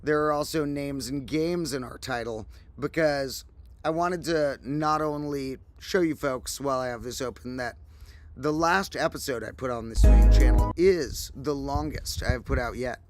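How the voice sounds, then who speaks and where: A man hosting a casual livestream, his voice slightly hoarse, telling a rambling story with animated asides. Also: medium pitch, moderate pace, no room sound, faint music behind. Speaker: host. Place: outdoors, windy.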